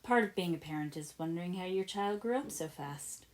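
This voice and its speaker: A woman's voice: fairly low pitch, animated, brisk, lightly acted. A woman voicing a fond, anxious mother in a read-aloud story. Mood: fond, gentle. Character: fond, anxious mother comforting a young boy.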